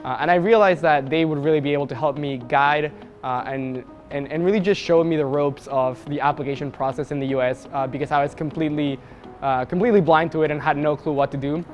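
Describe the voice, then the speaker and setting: A young man from Mexico City, a university student giving a relaxed testimonial, talking casually with a lot of "uh" fillers. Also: a little high, quick pace, dull audio, faint music behind. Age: young adult. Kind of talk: testimonial to camera.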